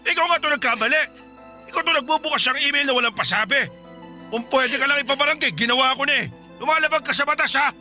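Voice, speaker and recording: Strident, high-pitched, indignant husband. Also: male voice, fast talker, sharp tone, soft background music, full character voice. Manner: defensive, scoffing, blustering. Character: indignant, evasive older husband denying an affair. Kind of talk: Tagalog radio drama acting.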